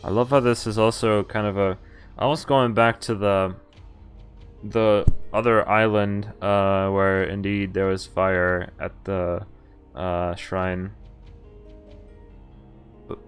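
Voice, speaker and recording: A man's voice: fairly low pitch, flat delivery, smooth, soft background music. A man streaming a game, reading visual novel text aloud and adding casual commentary. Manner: halting asides, casual swearing.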